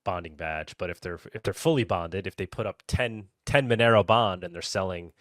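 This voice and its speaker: A man's voice: low-pitched, fairly quick, no echo, studio quality. A man in a casual podcast conversation, explaining features as a developer and often checking that listeners follow.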